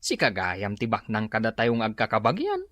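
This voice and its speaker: A woman's voice: very deep voice, huge pitch swings, moderate pace, no room sound, full character voice. A woman playing an easygoing, respectful younger relative in an Ilocano comedy radio drama, with an Ilocano accent. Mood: polite, surprised.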